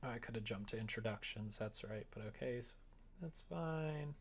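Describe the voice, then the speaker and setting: A man's voice: mid-range voice, normal speed, husky. A man streaming casually, talking informally and muttering to himself as he works. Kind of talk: casual livestream chat while coding a visual novel.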